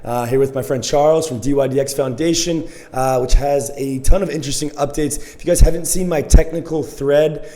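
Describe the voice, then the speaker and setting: A man's voice: medium pitch, steady tone, a little fast, few pauses, mellow. A man hosting a show interview, chatty and upbeat, with frequent fillers and restarts. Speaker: show host. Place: conference venue.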